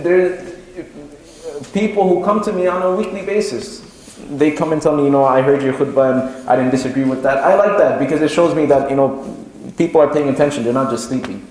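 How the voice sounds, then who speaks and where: A man giving a sermon as an imam, teaching and exhorting in a measured way and repeating phrases for emphasis. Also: a little high, darkish tone, a little hiss or hum. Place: mosque hall, speaking to a congregation. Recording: very echoey, reverberant room.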